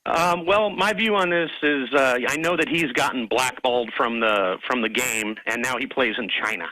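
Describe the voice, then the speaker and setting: A man with a bright, forceful voice calls into a radio show. He talks conversationally but hesitantly, with frequent ums, and sounds dry over a phone line. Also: mid-range voice, moderate pace, no echo. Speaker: caller.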